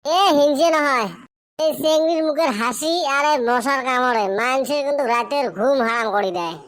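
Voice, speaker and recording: A very high, childlike voice put on by a performer for a teasing village youth in a cartoon comedy. The delivery is exclamatory and full of banter. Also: moderate pace, fairly bright tone.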